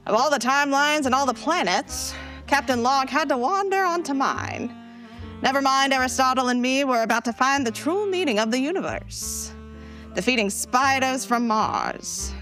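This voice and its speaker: A woman's voice: high-pitched, fairly bright tone, exaggerated voice. A woman playing a quirky young geek companion in a live sci-fi comedy, casual, wry and playfully theatrical. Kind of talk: live staged science-fiction audio comedy.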